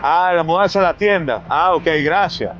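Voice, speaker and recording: A man's voice: sits high, expressive, normal speed, fairly bright tone, lo-fi sound. A man in his forties with a Venezuelan Spanish accent, reporting from inside his car on a city street, questioning people with pointed, indignant questions and emphatic repeats.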